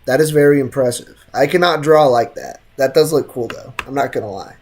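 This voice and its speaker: A man's voice: medium pitch, a little fast, slight background noise. A young American man chatting casually and slangily as he streams a game, reading chat and answering it. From United States. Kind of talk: casual live gaming chat. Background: game music.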